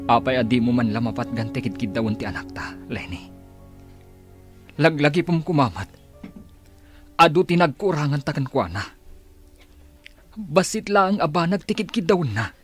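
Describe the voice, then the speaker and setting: A man's voice: medium pitch, animated, moderate pace, pauses often, breathy edge. An actor playing an earnest, reproachful father in a radio drama, recorded close with music underneath. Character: earnest father urging his wife about their child's graduation.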